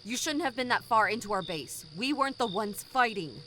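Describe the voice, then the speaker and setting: A woman playing a bitter, vengeful rebel commander in an audio drama, speaking with formal, deliberate menace. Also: a little high, expressive, sharp tone, a little hiss or hum. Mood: angry, accusing.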